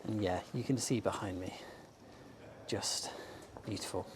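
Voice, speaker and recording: Man, casual walking vlog, chatty and enthusiastic, slightly hoarse, handheld camera outdoors, faint street ambience. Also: fairly low pitch, measured pace, noisy background. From England. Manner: chatty and enthusiastic, with frequent ums. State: slightly losing his voice. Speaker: bookshop owner and vlogger. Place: outdoors on a sunny sloping shopping street. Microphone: handheld camera filming himself while walking.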